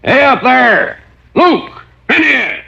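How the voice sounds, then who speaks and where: An actor playing an easygoing American rancher in an old-time radio drama, speaking in a folksy way. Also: male voice, extremely high pitch, very animated, slow, vocal fry, pushed and bright, heavily acted. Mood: calling out. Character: folksy, easygoing rancher, brother of the dead man. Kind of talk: 1940s-50s radio acting. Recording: crackly muffled old radio recording.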